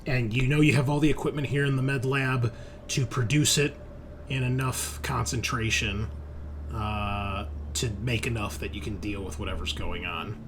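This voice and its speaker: A man's voice: medium pitch, fairly even intonation, moderate pace, a bit bright, a little hiss or hum. A man narrating a tabletop sci-fi horror game as game master, in a casual, sweary way.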